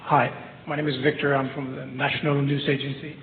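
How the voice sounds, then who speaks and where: A man asking a question as a news reporter at a press conference, speaking haltingly. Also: medium pitch, flat delivery. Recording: echoey hall, phone-like band, faint noise.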